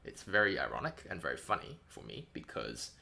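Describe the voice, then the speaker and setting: A man's voice: very deep voice, huge pitch swings, a little fast, vocal fry, pushed and bright. A man with a light New Zealand English accent, chatting casually and wryly on a live stream, often correcting himself. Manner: rambling, self-correcting, wry. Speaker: indie game developer streaming a devlog.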